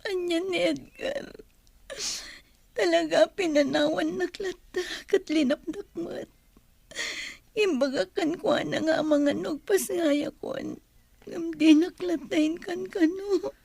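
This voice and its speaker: A woman's voice: very high voice, slow, creaky, a little mellow, dry recording, full character voice. A woman with an Ilocano accent playing an emotional, pleading pregnant wife in a radio drama. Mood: pained, tearful.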